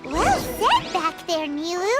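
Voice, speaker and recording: A woman voicing a tiny, childlike fairy companion in a video game, squeaky, perky, blunt and cheeky. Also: huge pitch swings, takes it slowly, loud music behind the voice, full character voice. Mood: cheering. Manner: refers to self in third person, cheeky.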